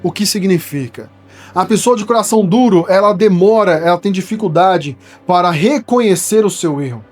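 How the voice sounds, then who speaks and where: A man's voice: high-pitched, normal speed, a little mellow, faint music behind. A man from São Paulo with a paulistano accent, explaining a Bible verse in a studio in a conversational teaching style that keeps checking in with his listeners. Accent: São Paulo Portuguese. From São Paulo, Brazil. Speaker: preacher.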